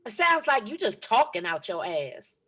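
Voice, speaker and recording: An actress playing a sassy nineteen-year-old granddaughter at a table read, scolding in exasperation. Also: female voice, medium pitch, big pitch swings, moderate pace, sharp tone.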